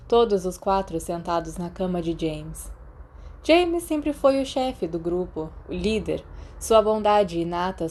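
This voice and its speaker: A woman's voice: medium pitch, mellow. A woman with a Brazilian accent reading scripted fiction as an audiobook narrator.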